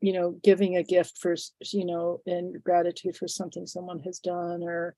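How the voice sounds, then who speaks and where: Congested woman in her sixties, low and mellow. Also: completely flat delivery, no room sound, poor audio. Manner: thoughtful, halting, many fillers and restarts. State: congested, phlegmy from a head cold. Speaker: interview guest. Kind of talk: reflective conversational interview.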